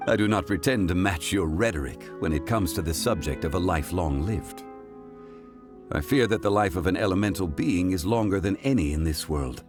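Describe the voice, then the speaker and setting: An actor playing a calm, dignified former god living as a gentleman in a video game, with composed, stately, formal speech. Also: low voice, moderate pace. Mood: grave, dignified. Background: faint music.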